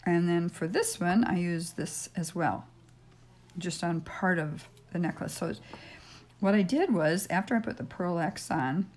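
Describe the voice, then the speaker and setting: An older woman with a raspy voice hosting a casual polymer clay tutorial from her home craft room, chatting and thinking aloud with frequent ums as she works, recorded on a fixed camera mic. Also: lowish voice, unhurried, darkish tone. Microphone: stationary camera mic.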